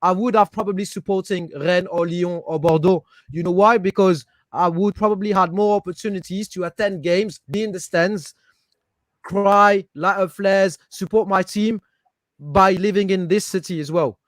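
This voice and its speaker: A man's voice: high voice, steady tone, moderate pace, dry recording. A man from Paris with a French accent, speaking English in a casual podcast debate. He talks with passion and often repeats words while he searches for them. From Paris, France. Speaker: guest, football fan.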